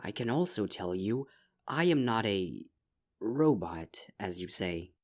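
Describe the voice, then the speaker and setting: A man voicing a regal pony princess who speaks in a formal, measured and soothing way. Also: fairly low pitch, big pitch swings. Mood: concerned.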